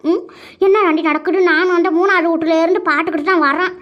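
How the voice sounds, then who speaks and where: Super high nosy village auntie woman acting, scolding, keeps asking, dull lo-fi sound. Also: steady tone, fast. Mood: inquisitive. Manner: insistent, questioning, repeating. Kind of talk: melodramatic Tamil village family skit. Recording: dull, muffled lo-fi audio.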